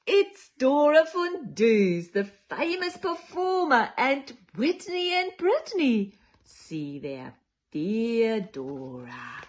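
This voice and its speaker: Woman reading to little kids, unhurried, super lively pitch, playful and theatrical. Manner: playful, theatrical, leaning into dramatic whispers and drawn-out words. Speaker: children's storytime reader. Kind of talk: animated picture-book read-aloud.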